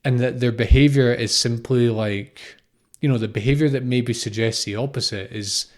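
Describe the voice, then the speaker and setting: Man, thirties, from Scotland, medium pitch, informal spiritual monologue, reflective, explanatory, repetitive phrasing, rhetorical questions. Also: fairly even intonation, moderate pace. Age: 30s. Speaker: spiritual teacher, podcast host.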